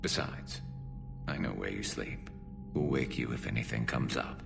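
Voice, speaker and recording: A man playing a weary, loyal alien soldier in a video game, with a gravelly, otherworldly rasp and a dry, wry, tender way of talking. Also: very deep voice, moderate pace, heavily acted. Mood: tender, concerned. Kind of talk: video game dialogue acting. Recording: game music behind.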